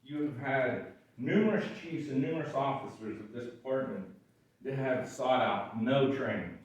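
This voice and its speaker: A man with a gravelly voice giving frustrated, emphatic public comment at a county board meeting. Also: mid-range voice, moderate pace. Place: large echoey meeting room. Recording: echoey room, voice far from mic.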